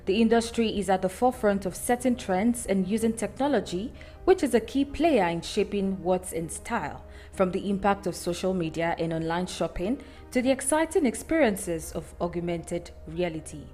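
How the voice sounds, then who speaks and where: A woman reading a scripted news report voice-over in a measured, formal way. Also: mid-range voice, expressive, warm tone, soft background music. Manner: measured, formal read delivery.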